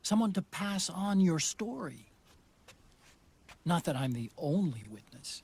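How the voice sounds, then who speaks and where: A man playing a loyal, earnest friend in a video game cutscene, with a soft, husky voice. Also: sits high, very animated, measured pace, heavily acted. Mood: solemn, devoted. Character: loyal, earnest male friend of an old soldier.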